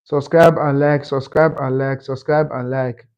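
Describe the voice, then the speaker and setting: A man in his twenties hosting a live stream, thanking viewers and repeating shout-outs to the chat. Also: mid-range voice, level tone, unhurried, clean tone, dull audio. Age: 20s. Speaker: livestream host.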